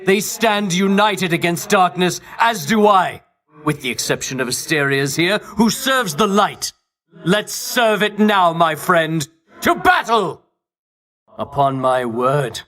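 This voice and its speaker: An actor playing a pompous, self-righteous Greek champion in a video game, declaiming in grandiose archaic phrases with theatrical scorn. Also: male voice, high voice, pushed and bright, full character voice. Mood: battle-ready, indignant. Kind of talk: video game character voice acting.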